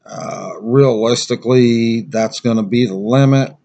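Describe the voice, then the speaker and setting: A man's voice: mid-range voice, a little slow, mellow, muffled recording. An American man giving a casual, unscripted gear review as a survival instructor, plain-spoken and rambling.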